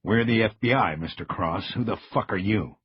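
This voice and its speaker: A man's voice: on the low side, normal speed, husky, no room sound, dull audio. A man playing a menacing federal agent in an audiobook, taunting and threatening in a hard-edged way. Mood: aggressive.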